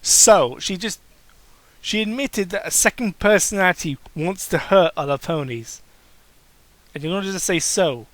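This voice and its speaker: A man's voice: high voice, moderate pace, a bit bright, no room sound, poor audio. A man giving a dramatic reading of fan fiction, breaking off for mocking, exasperated asides. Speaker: reader commenting on fan fiction.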